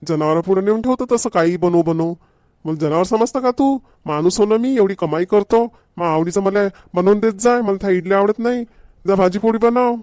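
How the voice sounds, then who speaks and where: A man's voice: sits high, brisk, a little mellow, dry recording, studio quality, natural voice. A man playing a grumbling, demanding village husband in a comedy cartoon, with a Varhadi Marathi accent and a nagging, repetitive manner. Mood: indignant, bossy. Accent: Varhadi Marathi from Vidarbha.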